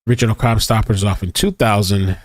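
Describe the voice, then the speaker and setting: Low-pitched casual male streamer, dry close sound. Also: fairly even intonation, fast, dry recording. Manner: informal, rambling, thinking aloud. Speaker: streamer host reacting to news. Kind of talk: casual live-stream commentary and reading aloud.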